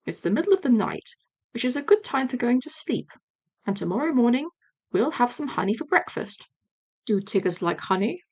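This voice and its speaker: A woman voicing a gentle, slow-witted male bear in a storybook, mild, puzzled and polite. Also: a little high, big pitch swings, husky, heavily acted. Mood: practical, mild. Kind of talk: storybook character voice.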